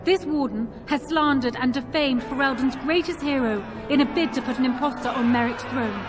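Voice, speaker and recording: A woman's voice: sits high, brisk, close to an everyday voice. An actress playing a poised, imperious young queen in a game cutscene, speaking formally and with assurance. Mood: indignant, assured. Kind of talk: dramatic game cutscene oratory. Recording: loud music and crowd behind.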